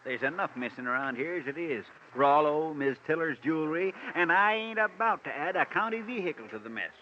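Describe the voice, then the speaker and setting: Guy doing folksy rural american sheriff, highish voice, blustery and suspicious, hissy old radio. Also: expressive, brisk, lightly acted. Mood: huffy, suspicious. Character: suspicious, gullible, blustering male country sheriff. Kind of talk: old-time radio comic character acting. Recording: noisy, muffled old radio recording.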